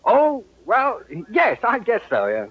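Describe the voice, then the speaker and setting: An actor playing an exasperated, gullible middle-aged homeowner in an old-time radio comedy, indignant and sputtering. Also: male voice, extremely high pitch, huge pitch swings, slow, lo-fi sound, full character voice. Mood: flustered, indignant.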